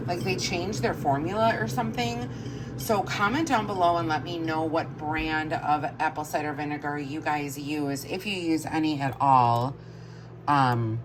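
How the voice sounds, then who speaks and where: A woman chatting casually to her camera as a home vlogger, a bit stuffy-nosed, rambling along with soft asides. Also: low voice, noisy background. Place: kitchen at home, indoors.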